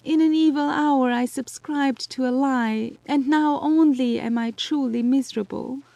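A woman's voice: steady tone, a little slow, warm tone, dry recording. A woman voicing a condemned young servant woman who is weeping and pleading, in an audio drama. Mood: despairing. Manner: sobbing, pleading, gravelly low delivery.

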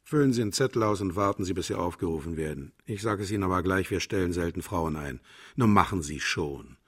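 Grumpy aging bureaucrat for radio drama, lowish husky voice, curt, vain, disdainful. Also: male voice, fast talker. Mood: impatient. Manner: curt, muttering, disdainful, indignant when challenged. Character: grumpy, vain, aging male official sorting human candidates. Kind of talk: German radio play acting.

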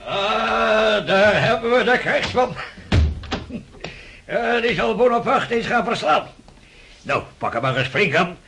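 An actor playing a gruff, mocking old prince and father in a radio drama, brusque and scoffing, with a husky, gravelly voice. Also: high-pitched, lively intonation, noisy background. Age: older. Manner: brusque, scoffing, rapid-fire questioning.

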